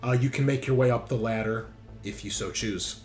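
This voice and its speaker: A man's voice: mid-range voice, normal speed, a little mellow. A man acting as game master, narrating a tabletop roleplaying game in a casual, profane, bantering way. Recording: music behind the voice. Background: background music.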